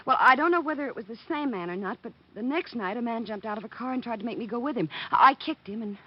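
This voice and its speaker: An actress playing a feisty, plain-spoken young woman, husky-voiced, in 1940s radio drama. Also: fairly high pitch, animated, quick pace. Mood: anxious, pleading. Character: feisty, plain-spoken young female nightclub photographer, anxious client. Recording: dull old radio recording.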